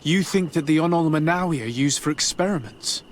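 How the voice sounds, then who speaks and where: An actor playing a courteous, gentle young envoy, the hero of a video game, speaking politely and with composure. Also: male voice, fairly high pitch, brisk, slight background noise, natural voice. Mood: curious, concerned. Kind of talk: video game dialogue acting. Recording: music behind the voice.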